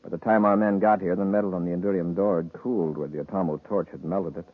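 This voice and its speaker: A calm commander of a space patrol in a 1950s radio adventure. His low voice is grave and authoritative, with a slightly rough edge. It comes through a muffled, narrow old radio recording. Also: no room sound. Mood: probing, measured. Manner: brisk, grave, analytical. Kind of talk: 1950s radio serial acting.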